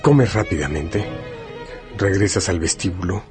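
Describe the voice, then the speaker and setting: Deep-voiced man narrating over music. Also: animated, unhurried. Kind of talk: dramatic scripted radio narration in second person.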